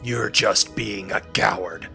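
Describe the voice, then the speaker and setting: A gruff older war hero, commanding and defiant, in an audio drama. The voice is low and gravelly with a hard, forceful edge. Music plays underneath. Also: male voice, slow pace, exaggerated voice. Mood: outraged, scornful. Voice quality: gravelly, rough. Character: gruff, defiant heroic older military commander, part synthetic.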